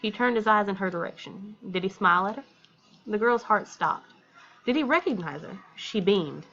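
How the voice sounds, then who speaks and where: A woman's voice: medium pitch, expressive, normal speed, fairly bright tone, muffled recording. An American woman reading a visual novel aloud and commenting casually as a let's play host. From Texas, United States.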